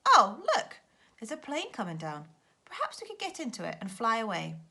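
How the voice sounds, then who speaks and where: A woman voicing an excitable young boy in a storybook adventure, with exclaiming, urgent delivery. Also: fairly high pitch, normal speed, gravelly, husky, voice pushed up, exaggerated voice. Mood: excited.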